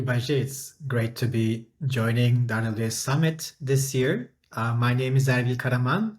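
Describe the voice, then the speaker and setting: Middle-aged guy from turkey, founder talking thru answers, lots of uhs, measured. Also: mid-range voice. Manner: measured, many ums and uhs, thoughtful. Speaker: startup founder and CEO, interview guest. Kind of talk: remote interview answers.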